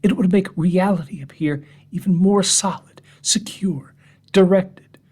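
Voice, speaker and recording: A man reading a horror story in the voice of an unhinged drunk man confessing to strangers, husky and often whispering, veering from hushed murmurs to sharp mocking rises. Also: high-pitched, measured pace. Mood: confiding. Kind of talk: first-person horror monologue reading.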